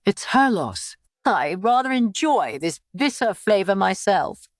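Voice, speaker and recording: A woman voicing a gentle, frugal, learned old male doctor in an audiobook. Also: medium pitch, big pitch swings, unhurried, dry recording, studio quality, pitched above the performer's own voice. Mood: contented. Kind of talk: audiobook character reading.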